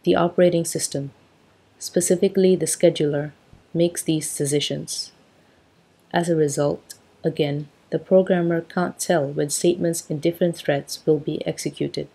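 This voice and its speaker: A young woman reading a technical textbook aloud as a sleep aid, in a calm, even, measured way, through a wired earphone mic in a small bedroom. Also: low-pitched, vocal fry, slightly rough. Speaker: reader. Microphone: wired earphone mic at a laptop.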